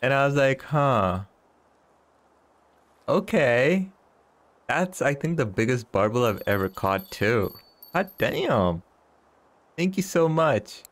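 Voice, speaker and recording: A man's voice: mid-range voice, big pitch swings, slow pace, pauses often, no room sound. A man in his early thirties streaming a fishing game, chatting and teasing his viewers with frequent chuckles. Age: 30s. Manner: chuckles often, teasing banter with chat. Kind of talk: casual live-stream chat while gaming.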